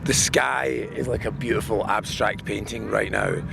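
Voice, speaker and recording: A man with a hoarse, heavily creaky voice speaks off the cuff like a video diarist. He rambles with wry enthusiasm in a fairly low register, outdoors with loud vehicle rumble around him. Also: steady tone, moderate pace. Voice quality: hoarse, worn-out voice. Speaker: vlogger filming a festival diary. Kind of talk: casual spontaneous video diary. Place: outdoors at a desert festival.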